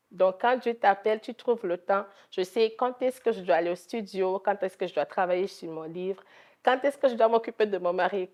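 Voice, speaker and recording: A woman's voice: medium pitch, darkish tone. Togolese-accented woman, devout interview guest. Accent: Togolese French. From Togo. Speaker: interview guest, gospel singer. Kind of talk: conversational TV interview answers.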